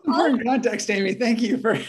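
A woman's voice: a little high, a little fast, crowd noise. A woman guest on a podcast, commenting with dry jokes in casual chat.

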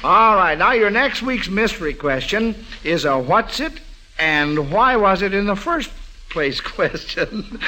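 A man's voice: a little high, pushed and bright, poor audio. An American man hosting a 1940s radio quiz program. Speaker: quiz show host.

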